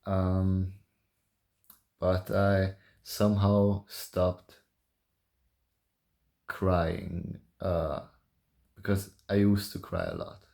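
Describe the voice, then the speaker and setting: Very slow, creaky young man's voice. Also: low voice. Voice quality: soft voice. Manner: calm, halting, hesitant with long pauses. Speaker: vlogger. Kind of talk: confessional solo vlog, thinking aloud.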